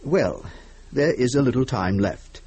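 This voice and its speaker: A man announces as host of a radio drama show. His voice is husky and moves expressively in pitch, with formal, measured phrasing and a dry, close studio sound. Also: animated, a little mellow, no room sound.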